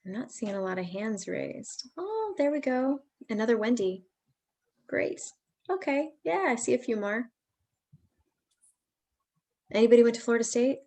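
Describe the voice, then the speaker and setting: A woman's voice: on the high side, sing-song, normal speed, pauses often, dark tone. A woman hosting a virtual parent information session, talking in a friendly, chatty, reassuring way over a video call. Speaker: pre-college program staff host.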